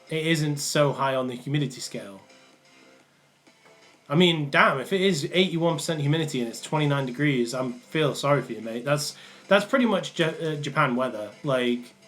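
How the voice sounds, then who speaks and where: Brief: young male host, Mancunian accent, casual rambling live chat, soft music under. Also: fairly high pitch. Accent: Mancunian, partly worn off. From England, Manchester area. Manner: rambling, many ums and restarts. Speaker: livestream host answering chat. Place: small room indoors.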